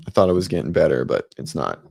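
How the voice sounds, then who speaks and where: A man with a raspy voice hosting a casual fitness livestream from his home office, rambling with many ums and restarts. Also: very deep voice, big pitch swings, quick pace, darkish tone, no echo, studio quality. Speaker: host of a fitness livestream show. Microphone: set-up studio mic.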